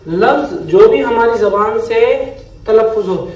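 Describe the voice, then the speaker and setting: A high-voiced man teaches a step-by-step grammar lesson, going back over each definition patiently. He sounds a little away from the mic in a very echoey room, with a faint hum behind him. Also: faint music behind. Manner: patient, repeats key definitions slowly. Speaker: Arabic teacher. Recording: echoey room heard from a distance.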